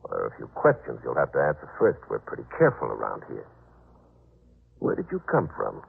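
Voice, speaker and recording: A very low, gravelly voice a suspicious veteran sentry. He blusters and taunts with animated swings of pitch, full of petty authority. It sounds like muffled 1950s radio drama. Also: brisk, heavily acted. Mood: stern, interrogating. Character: suspicious, officious veteran male night sentry. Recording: muffled, band-limited 1950s radio recording.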